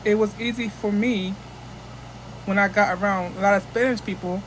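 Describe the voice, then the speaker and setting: A woman's voice: mid-range voice, steady tone, moderate pace, a little hiss or hum, rough recording. A woman from the United States chatting casually into her phone camera as a vlogger, rambling and restarting often.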